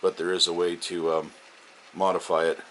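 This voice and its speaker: A man's voice: sits low, little pitch movement, normal speed, a bit bright. A man giving a casual, unscripted show-and-tell as a radio hobbyist, plain-spoken and rambling, often correcting himself. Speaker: CB and ham radio hobbyist showing off a collection. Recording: very noisy home-video sound with hiss.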